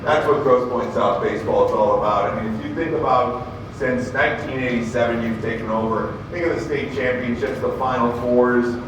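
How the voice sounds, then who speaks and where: A loud-voiced man giving an earnest tribute speech to his former coach at a banquet. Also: mid-range voice. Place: banquet room. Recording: echoey room, distant mic, noise.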